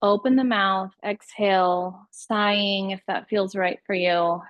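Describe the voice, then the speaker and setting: Female meditation guide, very slow and soothing, mid-range pitch, close dry recording. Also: steady tone, no room sound, dull audio. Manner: unhurried, soothing instructional delivery with pauses. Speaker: yoga nidra meditation teacher.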